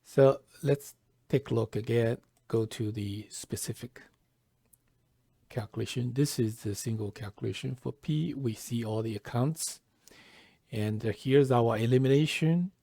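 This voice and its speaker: A man presenting a software tutorial step by step, halting and deliberate, often pausing mid-phrase. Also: medium pitch, vocal fry, no echo, clean studio sound. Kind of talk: step-by-step tutorial narration, lightly scripted.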